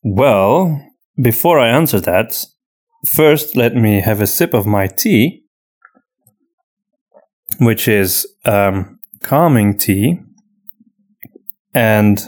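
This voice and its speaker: A man talking casually and unscripted as a podcast host, often halting and repeating himself as he searches for words. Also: on the low side, a little mellow. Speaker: podcast host and story writer answering listener questions.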